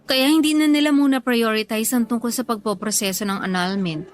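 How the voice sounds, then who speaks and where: A woman's voice: on the high side, brisk, natural voice. An actress playing a coy, defensive young woman in love, in radio drama dialogue. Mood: defensive, sheepish.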